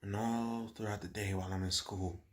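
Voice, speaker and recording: An actor playing a confused, grieving schoolboy son in a dramatic monologue, speaking in a halting, hushed way with heavy pauses. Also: male voice, fairly low pitch, fairly even intonation, lightly acted.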